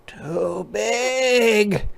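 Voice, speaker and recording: A man's voice: high-pitched, drawn-out words, vocal fry, voice pushed up, heavily acted. A man voicing a reluctant little boy bear who is sick, in a children's storybook. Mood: reluctant, sickly. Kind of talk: children's storybook read-aloud.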